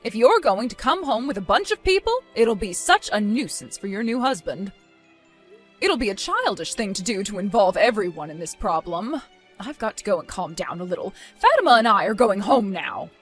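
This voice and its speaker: A woman's voice: mid-range voice, big pitch swings, normal speed, husky, faint music behind. A woman voicing a stern, disappointed mother nearing retirement age in a dramatized story, scolding with weary firmness. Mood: weary, resigned.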